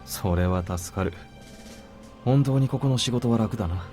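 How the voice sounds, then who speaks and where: A confident young assassin in uniform from a visual novel, acted by a man. He speaks in a fairly low voice and very quickly, terse and unbothered. Music runs faintly behind him. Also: mellow, lightly acted. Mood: nonchalant. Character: cool, confident young male soldier-assassin. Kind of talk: Japanese visual novel voice acting. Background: game background music.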